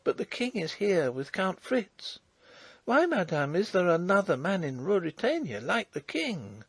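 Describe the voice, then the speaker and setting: A man voicing a young peasant farm girl who is earnest and stubborn, speaking in a high pleading voice. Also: very animated, measured pace, slightly rough, no room sound, full character voice.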